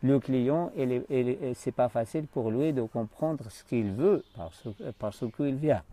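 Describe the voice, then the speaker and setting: A man's voice: medium pitch, measured pace, mellow. Hesitant man coaching live. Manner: hesitant, often searching for words. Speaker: life coach hosting a live stream. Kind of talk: unscripted live-stream talk to viewers.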